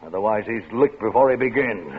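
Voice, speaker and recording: An actor playing a domineering older male boss with asthma, gruff and commanding in old-time radio drama style, with a raspy voice. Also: on the low side, lively intonation, brisk. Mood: stern. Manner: gruff, commanding, wheezing coughs. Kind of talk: 1940s radio acting. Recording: tinny old radio broadcast.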